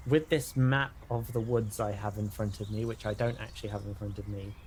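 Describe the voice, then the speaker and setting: A man's voice: on the low side, steady tone, brisk, darkish tone, a little hiss or hum. A man with a British accent playing a tabletop role-playing game, thinking aloud in casual, hesitant chat.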